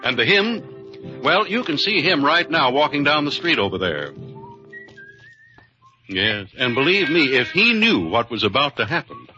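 A man's voice: mid-range voice, big pitch swings, unhurried, long pauses. A man announcing and narrating a 1950s radio show in a jaunty, theatrical, confiding way over music. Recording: muffled vintage radio recording under loud music.

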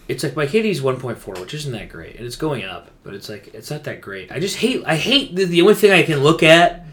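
A man's voice: mid-range voice, fairly bright tone. A man chatting casually as a gaming podcast co-host, rambling and venting emphatically in slangy language.

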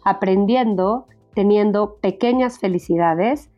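A Mexican woman in her forties hosts a remote podcast interview in a chatty, playful way. Her voice sits at an ordinary pitch, with faint music somewhere behind her. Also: measured pace, warm tone. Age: 40s. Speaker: podcast host. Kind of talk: conversational podcast hosting. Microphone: remote recording.

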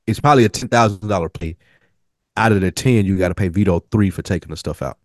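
A man's voice: deep voice, fast, no room sound, clean studio sound. A man co-hosting a casual TV recap podcast, talking in a slangy, profane way. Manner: slangy, profane, explains by acting out lines. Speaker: podcast co-host.

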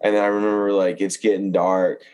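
A young adult man chatting casually as a podcast guest, telling hunting anecdotes in an animated way, peppered with "like". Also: low voice, a little fast. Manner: animated storyteller, frequent "like".

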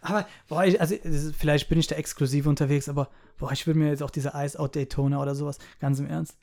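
A man's voice: fairly high pitch, flat delivery, quick pace, a little mellow. A young man, a rapper, answering interview questions in a thoughtful, hesitant way with many fillers. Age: young adult.

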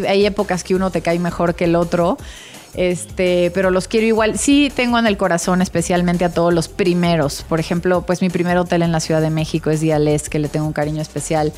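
A Mexican woman with a fairly low voice answers interview questions conversationally. She sounds reflective and enthusiastic about her work as an interior designer. Faint music plays behind her. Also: fairly even intonation, fairly quick, a little mellow. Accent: Mexican Spanish.

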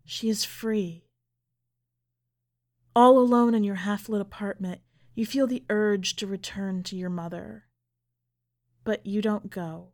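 Woman reading in a measured literary podcast style. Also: medium pitch, a little mellow. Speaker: podcast host, editor and story reader. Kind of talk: literary story narration and podcast commentary.

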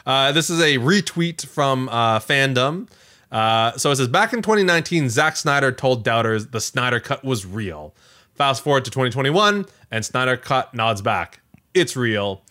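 Male podcast co-host, bright forward voice, lively swinging intonation, rambling and self-correcting, conversational. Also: mid-range voice, normal speed. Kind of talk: casual tech and entertainment chat.

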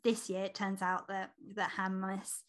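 A woman's voice: medium pitch, little pitch movement, unhurried, a bit bright. A woman chatting casually and playfully as co-host of a book podcast. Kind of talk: casual unscripted book chat.

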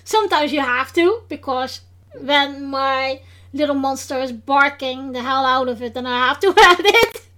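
A woman with a high-pitched voice and a Dutch accent hosts a podcast in English. She talks casually and hesitantly, pausing often with fillers and restarting her sentences. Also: pushed and bright. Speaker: podcast host.

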